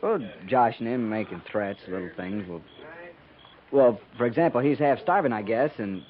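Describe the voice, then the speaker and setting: Casting: male actor as deferential, halting army subordinate, highly varied pitch, muffled old radio western. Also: animated, close to an everyday voice. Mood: uneasy, evasive. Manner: halting, evasive. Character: hesitant, deferential cavalry sergeant answering to captain. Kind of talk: 1950s radio western acting. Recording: muffled 1950s radio recording.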